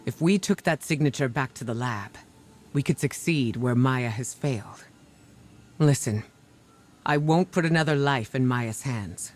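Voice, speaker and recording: Casting: a man as earnest, weary mission leader, medium pitch, serious game briefing delivery. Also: close to an everyday voice. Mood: resolute, grave. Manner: serious, measured.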